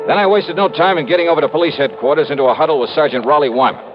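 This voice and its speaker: A man's voice: a little high, racing, lightly acted. An American man playing a confident, hard-boiled insurance investigator in a vintage radio drama, wry, clipped and casual. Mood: dry, matter-of-fact. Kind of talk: 1950s-60s radio detective drama, first-person narration. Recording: dull vintage radio recording, music behind.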